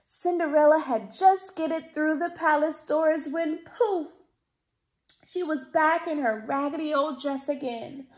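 High-pitched woman reading a storybook. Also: darkish tone. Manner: deliberate, theatrical emphasis. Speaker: library storytime reader. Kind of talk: expressive children's picture-book read-aloud. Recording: roomy indoor echo.

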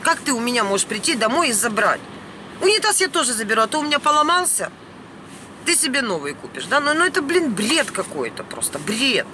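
A woman's voice: on the high side, sing-song, brisk. A woman, speaking indignantly and bluntly in an unscripted vlog rant, recorded inside a van. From Ukraine. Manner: indignant, emphatic, blunt, peppered with mild swearing. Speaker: vlogger. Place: inside a parked or moving van. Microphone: camera at about arm's length. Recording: noisy vehicle cabin.

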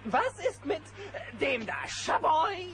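A man voicing a flamboyant, excitable bear artist with a theatrical, breezy, self-satisfied delivery in a German children's audio drama. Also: very high voice, takes it slowly, music in the background, full character voice. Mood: encouraging. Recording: noisy old cassette audio drama.

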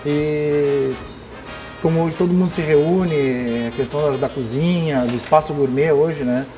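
A middle-aged man describing his furniture store, halting and tagging sentences with questions. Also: fairly high pitch, mellow. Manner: halting, frequent tag questions. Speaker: store manager. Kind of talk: casual promotional chat. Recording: music under the voice.

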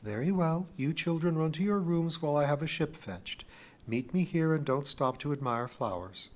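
A man voicing a small, gentle, motherly female alien who speaks calmly and firmly. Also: a little high, voice pushed up, lightly acted. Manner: soft, measured, soothing and precise. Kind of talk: audiobook character voice.

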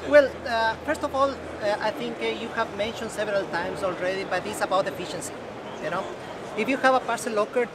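This is a middle-aged man with a high, light voice, talking in an eager and emphatic way. He was recorded on a close camera in a large exhibition hall. Loud hall noise runs underneath. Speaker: locker company representative. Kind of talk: trade-fair interview. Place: busy indoor exhibition hall.

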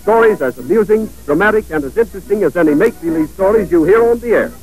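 A high-voiced man delivers a scripted old-time radio announcement. Music plays faintly behind him on the archival recording. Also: animated, fast talker, rough recording.

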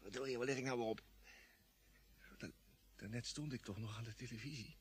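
An actor playing Jimmy, an excitable, easily panicked space crewman who stammers and repeats words in a Dutch radio drama. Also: medium pitch, a little fast, natural voice. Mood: dazed, confused.

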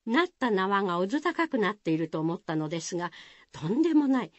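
Lively woman narrating a folk tale. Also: mid-range voice, fairly quick, no echo, dull audio. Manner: expressive, dramatic storytelling. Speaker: storyteller, narrator.

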